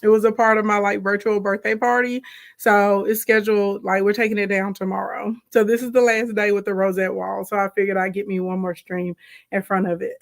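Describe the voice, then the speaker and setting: A woman hosting a casual live stream as a business coach, her voice slightly raspy and hoarse from a sore throat, greeting viewers by name as she talks. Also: medium pitch, steady tone, normal speed. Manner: chatty, greets viewers by name mid-sentence. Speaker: livestream host and business coach. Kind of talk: casual livestream chat.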